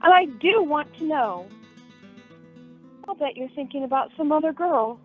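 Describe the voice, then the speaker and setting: A woman plays a young fiancée in a radio drama, earnest and plaintive. Her voice is high and moves in big swoops. The recording is lo-fi, with music behind it. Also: animated, moderate pace. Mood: concerned, pressing. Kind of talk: radio play acting. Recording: muffled lo-fi audio with music behind.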